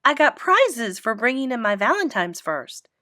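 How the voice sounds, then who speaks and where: A woman's voice: a little high, very animated, moderate pace, sharp tone, dry recording, clean studio sound. A woman voicing a brash, funny kindergarten girl with emphatic, childlike grammar in a children's storybook. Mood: emphatic, eager. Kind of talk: animated children's book read-aloud.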